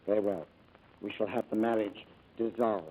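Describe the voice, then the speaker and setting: A man playing a dry, businesslike lawyer with a formal, deliberate manner, in 1940s radio drama style. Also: lowish voice, fairly even intonation, breathy edge, phone-quality sound.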